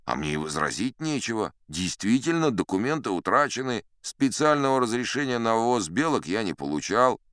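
A man narrating a first-person yarn like an audiobook actor, expressive and conversational in his telling. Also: lowish voice, normal speed, few pauses, clean tone, no room sound, clean studio sound. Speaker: audiobook actor narrating as a storyteller sea captain. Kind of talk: animated scripted first-person storytelling.

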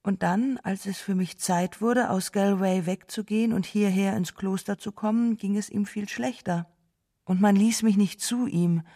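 An actress playing a gentle, grieving wife who remembers a lost love in a halting, subdued way in a radio drama. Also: female voice, medium pitch, fairly even intonation, natural voice. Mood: wistful sorrow. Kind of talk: literary radio drama monologue.

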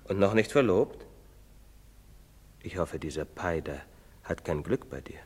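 Low-voiced, paternal village priest. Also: male voice, expressive, a little mellow, slightly rough, heavily acted. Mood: fatherly, probing. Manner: measured, moralising, paternal. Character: paternal, reproving village priest, smoothly self-assured. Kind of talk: German stage drama acting. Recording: echoey theatre-style hall reverb.

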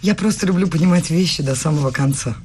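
An actress playing an earnest, proud young woman student in a radio play, with a husky voice and a brisk, insistent manner. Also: low-pitched, darkish tone. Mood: polite, guarded. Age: young adult.

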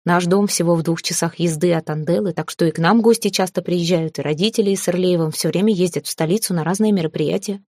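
A woman voicing a confident young nobleman, teasing and gently persuasive, in a fantasy storybook. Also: fairly low pitch, fast, few pauses, no echo, studio quality. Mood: surprised, assured.

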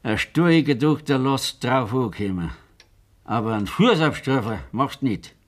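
A man's voice: medium pitch, animated, normal speed, natural voice. An actor playing a stern, unforgiving rural man in a Bavarian dialect radio drama, curt and commanding.

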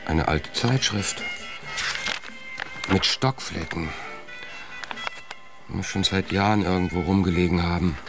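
Deep, slow, skeptical man. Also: steady tone, music in the background. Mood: puzzled. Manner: terse, pensive. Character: skeptical, puzzled man studying a clue. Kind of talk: German radio drama acting.

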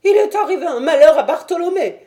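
A woman reading an audiobook voices a frantic, nervous man near tears, in a shrill, panicky, breathless way. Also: animated, a little slow, a little mellow. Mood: terrified.

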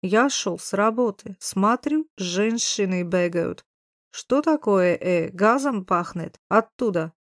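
A woman's voice: medium pitch, lively intonation, slow pace, no room sound, studio quality. A woman narrator voicing a burly, proud and commanding neighbour man with a guttural Caucasian accent, in blunt short phrases. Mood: boastful, matter-of-fact. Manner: punctuates speech with interjections, blunt short phrases.

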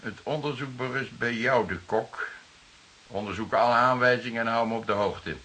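Man acting a bright-voiced, stern police commissioner. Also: mid-range voice, moderate pace, a little hiss or hum, natural voice. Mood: stern, formal. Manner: authoritative, clipped, formal. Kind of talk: Dutch radio drama acting.

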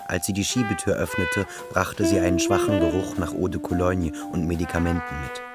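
A man's voice: low-pitched, steady tone, brisk, loud music behind the voice. A man reading literary scripted narration as the narrator of a radio drama.

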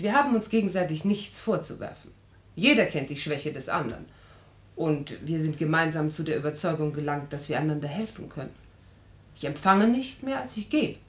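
A composed, candid woman in a radio drama, lowish voice, echoey room. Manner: matter-of-fact, poised, articulate. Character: frank, composed, practical woman engaged to an older man. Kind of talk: classic German radio play acting.

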